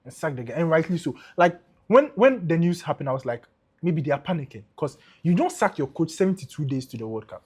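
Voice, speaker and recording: Ghanaian man, husky and animated. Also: on the high side, quick pace. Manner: animated, mixes in pidgin, laughs often. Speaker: podcast co-host. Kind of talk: casual football chat.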